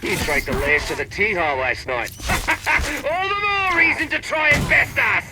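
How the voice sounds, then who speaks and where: An actor playing a boisterous, mocking warrior leader in a video game, bellowing over radio comms. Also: male voice, extremely high pitch, big pitch swings, unhurried, over loud music, heavily acted, low-quality recording. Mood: rowdy taunting. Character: boisterous, taunting male Mandalorian warrior leader.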